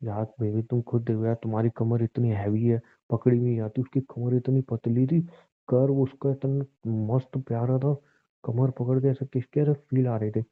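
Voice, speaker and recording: A man acting as a cheating boyfriend in a phone-call drama. His voice sits at an ordinary male pitch, defensive and insistent, forever justifying himself. It all comes through a thin, tinny phone line. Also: little pitch movement, moderate pace, natural voice. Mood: brazen. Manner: pleading, insistent, self-justifying.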